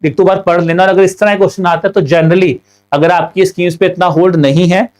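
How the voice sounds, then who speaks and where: A young Indian man with a slightly high voice and a sore throat runs an exam prep class. He reads each question slowly in English. Then he speeds up and explains the answer in a quick mix of Hindi and English. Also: fairly even intonation, a little mellow. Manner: mixes Hindi and English, slow when reading questions aloud, fast when explaining answers. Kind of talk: online class solving quiz questions.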